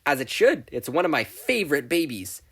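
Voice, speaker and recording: A narrator acts out a teenage girl inventor for an audio fan story. She is brash, blunt and very sure of herself, with emphatic, swooping delivery and a slightly rough, husky edge. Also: medium pitch, sing-song, a little fast, a bit bright, exaggerated voice. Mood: boastful, enthusiastic.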